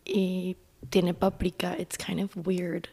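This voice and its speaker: A woman in her twenties with a Mexican accent, hoarse and nearly voiceless, chatting casually as a podcast co-host in two mixed languages. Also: on the low side, level tone, takes it slowly, mellow. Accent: Mexican Spanish. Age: 20s. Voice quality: breathy, cracking into whisper. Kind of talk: casual chat, mixing Spanish and English.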